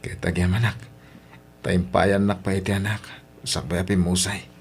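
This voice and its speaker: A man's voice: low-pitched, flat delivery, normal speed, a little hiss or hum. A man with an Ilocano accent playing an emotional husband and father in a radio drama, with emphatic, plaintive delivery. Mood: grieving, resigned.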